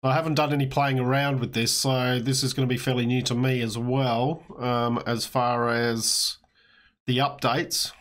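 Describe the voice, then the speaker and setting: An Australian man, slightly hoarse, giving a relaxed walkthrough of a video game he is playing, with frequent fillers. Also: mid-range voice, moderate pace. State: slightly hoarse, losing his voice. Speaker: let's play host. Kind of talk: casual gameplay walkthrough commentary.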